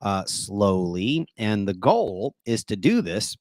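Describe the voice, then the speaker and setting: A middle-aged man hosting a casual live stream, talking softly and deliberately quiet, rambling with plenty of fillers. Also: on the low side, big pitch swings, dry recording, clean studio sound. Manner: soft-spoken, deliberately quiet to save his voice. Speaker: host of apologetics live stream.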